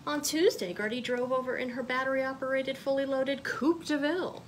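A woman in her thirties, a children's librarian, reading a picture book aloud expressively with clear phrasing in a small room. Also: high-pitched, steady tone, moderate pace, a little hiss or hum. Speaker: children's librarian, storytime reader.

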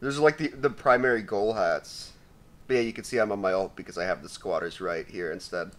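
A young man with a raspy voice giving casual, profane commentary while opening loot, swinging between mumbling and shouting. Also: fairly low pitch, brisk. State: raspy from sneezing. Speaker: gaming YouTuber.

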